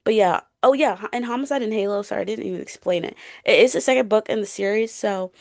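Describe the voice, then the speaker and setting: Creaky-voiced woman chatting casually about books. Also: mid-range voice, fast, no echo, studio quality. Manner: informal, youthful slang, self-correcting. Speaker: co-host of a book podcast.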